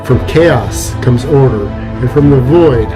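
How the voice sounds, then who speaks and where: A man's voice: medium pitch, takes it slowly, over music. A man giving a solemn, portentous scripted narration in a dramatic mythological style.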